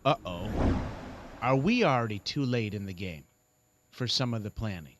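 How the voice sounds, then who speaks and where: A man's voice: fairly low pitch, animated, normal speed, dry recording. A man in his forties, co-host of a legal talk radio show, joking and spinning long run-on points. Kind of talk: casual talk radio banter. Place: radio studio.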